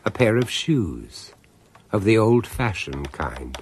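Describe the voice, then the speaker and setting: A man narrating a radio horror drama as an urbane storyteller, deliberate and theatrical, pausing to savour each phrase. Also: lowish voice, very animated, darkish tone, a little hiss or hum. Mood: ominous, savouring.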